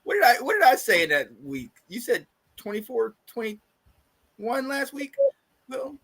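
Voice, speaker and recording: A man hosting a casual football podcast, stuffed up, energetic and laughing often. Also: extremely high pitch, a little slow. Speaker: podcast host. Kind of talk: casual sports podcast chat.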